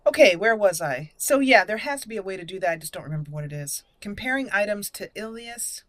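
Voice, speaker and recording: A woman's voice: mid-range voice, animated, fast, fairly bright tone, dry recording. A woman giving casual gameplay commentary, thinking aloud and reading item names out as she goes. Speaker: gaming channel host. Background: video game music.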